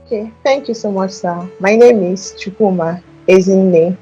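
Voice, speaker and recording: A young Nigerian woman from Ebonyi State answering interview questions politely and softly, with hesitant restarts. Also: medium pitch, a little slow, lo-fi sound, soft background music. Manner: polite, soft-spoken, hesitant with fillers and restarts. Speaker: guest.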